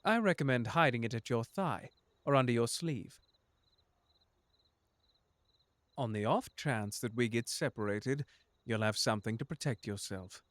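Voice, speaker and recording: A man's voice: medium pitch, expressive, moderate pace, dry recording, lightly acted. A man playing a suave, unflappable butler in an ASMR audio drama, speaking formally with dry courtesy and a protective streak. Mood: reassuring. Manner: formal, polite, dryly sardonic. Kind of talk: ASMR audio drama roleplay addressed to the listener.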